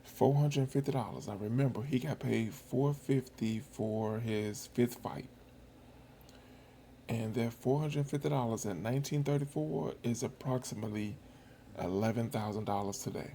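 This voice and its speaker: A man's voice: medium pitch, normal speed, gravelly, dark tone, a little hiss or hum. A man giving casual commentary to his viewers, musing aloud and repeating himself for emphasis. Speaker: video host. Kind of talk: casual chat commentary over old footage.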